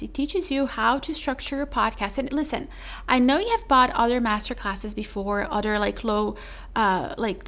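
A woman hosting her own podcast, talking chattily to the listener with a mellow voice and calling them "my love.". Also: on the high side, vocal fry. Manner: chatty, calls listener "my love", says "like" often. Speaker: podcast host. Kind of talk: solo podcast sales pitch.

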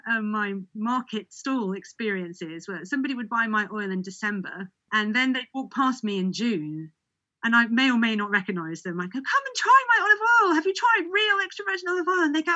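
A woman who sells olive oil, chatting as a guest, animated and laughing as she acts out what others said. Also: high voice. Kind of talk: casual chat. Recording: muffled lo-fi audio.

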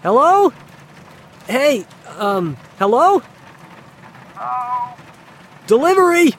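High-pitched, jittery young delivery guy. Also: very animated, slow, slight background noise, heavily acted. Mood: nervous calling out. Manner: hesitant, stammering, jittery. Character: nervous, good-hearted delivery guy alone at a spooky mansion. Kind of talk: naturalistic audio drama acting.

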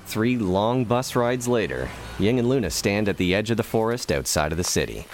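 A man's voice: lowish voice, big pitch swings, normal speed, a little hiss or hum. A man giving the scripted narration of an audio drama and adding dry asides.

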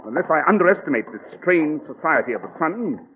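An actor playing a commanding, quick-witted English detective with a clipped, urgent British delivery in a 1940s radio drama. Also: male voice, a little high, big pitch swings, narrow, tinny audio. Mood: grave. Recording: noisy, band-limited 1940s radio recording.

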